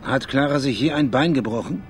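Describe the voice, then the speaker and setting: An actor playing a persistent, businesslike police detective in a German radio crime drama, putting clipped, probing questions. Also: male voice, mid-range voice, a little fast, faint music behind, close to an everyday voice. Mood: insistent.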